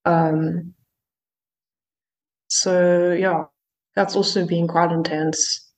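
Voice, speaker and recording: A woman's voice: lowish voice, level tone, extremely slow, rough recording. A woman musician being interviewed, talking in a hesitant way with many fillers and restarts. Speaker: musician guest. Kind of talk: casual interview.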